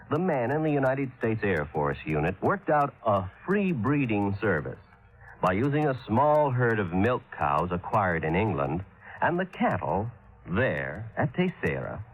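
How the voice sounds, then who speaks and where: A man reading scripted 1950s radio narration in a formal announcer's manner, on a dull old recording. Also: fairly low pitch.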